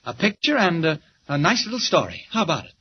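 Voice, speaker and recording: A brisk, earnest reporter-hero from an old radio serial. His voice is high and swoops widely. It is heard on a dull, muffled transcription. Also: big pitch swings, breathy edge, full character voice. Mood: coaxing. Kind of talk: 1940s radio acting.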